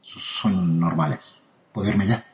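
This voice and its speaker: A man's voice: low voice, a little slow, darkish tone. A man from playing a frightened patient in a psychiatric ward who sounds anxious and shaken. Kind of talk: audio drama acting. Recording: roomy echo.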